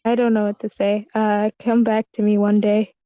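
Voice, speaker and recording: A woman's voice: medium pitch, little pitch movement, normal speed, clean tone, no room sound. A woman giving casual feedback in an online meeting, tentative and giggly, often trailing off. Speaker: table-read participant giving script feedback. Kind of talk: casual spoken critique. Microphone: online meeting call. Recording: dull lo-fi online meeting audio.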